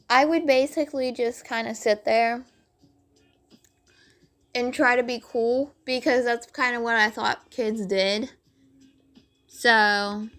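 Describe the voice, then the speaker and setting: A woman with a raspy voice telling a rambling storytime, full of "like" fillers, over game footage. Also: a little high, a bit bright. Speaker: vlogger.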